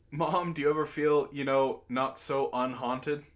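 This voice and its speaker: A man's voice: mid-range voice, steady tone, slow. A man hosting a casual online chat, reading messages and memes aloud and reacting in a giggly, profane way.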